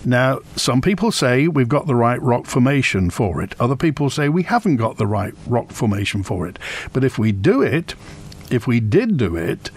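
A man's voice: mid-range voice, sing-song, normal speed, husky. A man hosting a radio phone-in from a studio, musing aloud and reading out listener messages. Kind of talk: radio talk show hosting.

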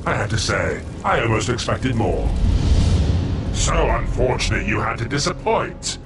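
Man voicing a smug, scheming cartoon monster scientist over loud music. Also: medium pitch, sing-song, measured pace. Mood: smug, gloating. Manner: theatrical, condescending, smug. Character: scheming, gloating red monster mad scientist. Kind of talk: animated cartoon villain acting.

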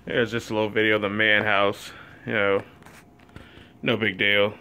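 A man giving a casual filmed tour of his collection, listing items slowly and offhandedly with many fillers, recorded on a handheld phone in a small wood-panelled room. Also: on the low side, level tone, bright, forceful tone, a little hiss or hum. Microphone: phone camera held while walking.

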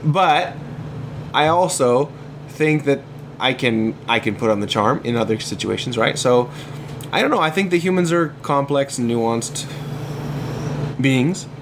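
A man's voice: mid-range voice, lively intonation, measured pace, long pauses, noisy background. A man talking casually as a podcast guest, rambling with many false starts. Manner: rambling, hesitant, many restarts. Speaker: podcast guest, comics artist. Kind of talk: casual chat.

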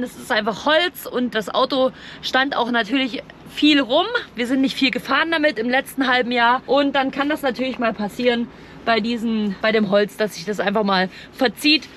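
A woman's voice: high voice, bright, forceful tone. A woman narrating a casual camping vlog in a chatty, informal way, explaining as she goes. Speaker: camping vlogger. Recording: clearly noisy, outdoor and vehicle ambience.

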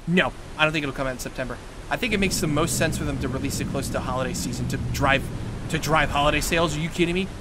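A young man with a light tenor voice chatting casually to his live-stream viewers while playing, answering questions and reacting with excited outbursts. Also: on the high side, fast talker, noisy background. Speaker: let's play streamer. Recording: game soundtrack loud underneath. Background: loud game music.

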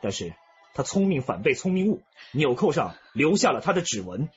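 An actor playing a firm, emphatic police detective setting out evidence in a radio drama. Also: male voice, on the high side, big pitch swings, a little fast, darkish tone, highs cut off, soft background music. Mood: methodical, assured. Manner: assertive, emphatic.

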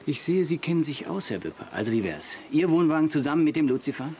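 An actor playing an affected, eager advertising photographer in a German radio play, effusive and pretentious. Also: male voice, on the high side, very animated, fast, a little mellow, a little hiss or hum. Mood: businesslike. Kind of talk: German audio drama dialogue.

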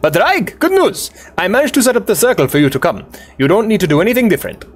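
A man doing an excitable high-voiced scientist friend. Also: fast. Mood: excited.

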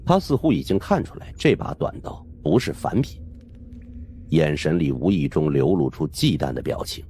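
A man's voice: very deep voice, very animated, normal speed, mellow, slight background noise. A man narrating a supernatural suspense audiobook in a dramatic, scripted style.

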